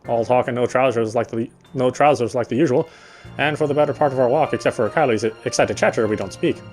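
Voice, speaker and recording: A man's voice: medium pitch, quick pace, darkish tone, soft background music. A man reading visual novel narration aloud, sometimes stumbling and rereading phrases.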